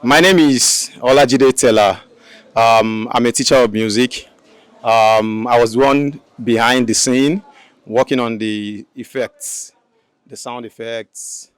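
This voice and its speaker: A man's voice: mid-range voice, steady tone, slow, smooth, dry recording. An adult man with a Nigerian accent, a music teacher, giving earnest congratulatory remarks with repeated phrases for emphasis.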